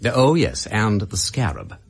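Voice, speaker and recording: An actor with an upper-class English accent playing an urbane, shrewd gentleman detective in a radio drama, wry and understated. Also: fairly low pitch, big pitch swings, slow, creaky, slightly rough, exaggerated voice. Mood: knowing, measured.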